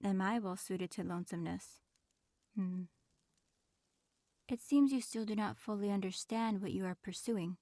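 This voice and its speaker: Audio roleplay: proud, aloof dragoness in human guise, played by a woman, mid-range voice, measured formal speech, dry studio-close sound. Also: medium pitch, mellow, no room sound, lightly acted. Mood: aloof, reserved. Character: proud, reserved female dragon in human form. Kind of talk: audio roleplay acting, addressing the listener.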